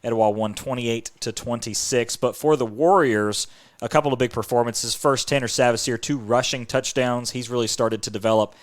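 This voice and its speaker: A man's voice: medium pitch, steady tone, moderate pace. A man hosting a sports podcast in an upbeat, chatty presenting style.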